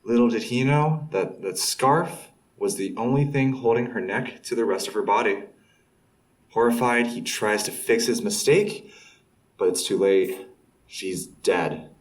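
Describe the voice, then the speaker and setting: A man's voice: medium pitch, measured pace, a little hiss or hum, close to an everyday voice. A young man acting as a defensive guy casually telling a story to friends in an audio drama. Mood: casual storytelling. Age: young adult. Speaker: student audio drama actor. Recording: slightly distant from the mic.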